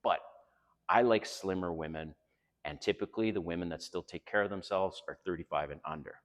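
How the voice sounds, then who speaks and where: Blunt older Canadian man, deep, roomy echo. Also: fairly quick. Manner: blunt, frank, addresses viewers directly. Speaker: dating advice vlogger. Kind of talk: casual advice monologue.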